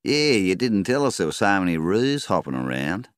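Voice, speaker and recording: A man's voice: deep voice, sing-song, moderate pace, smooth, dry recording, clean studio sound, pitched above the performer's own voice. A man reading a story aloud, voicing a brash, cocky male kangaroo shooter in a raised voice. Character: gruff rough-spoken male kangaroo shooter.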